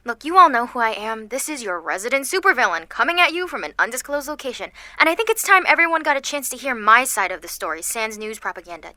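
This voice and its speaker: Bright-voiced sarcastic teenage girl supervillain. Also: fairly high pitch. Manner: theatrical, sarcastic, swearing. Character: seventeen-year-old girl supervillain, sarcastic, defiant, anxious. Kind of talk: audio drama monologue, recorded confession.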